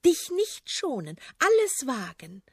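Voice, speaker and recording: A woman's voice: high voice, wildly swooping pitch, slow pace, no room sound, clean studio sound, pitched above the performer's own voice, heavily acted. A woman doing a haughty young sea princess. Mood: commanding. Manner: mocking, commanding.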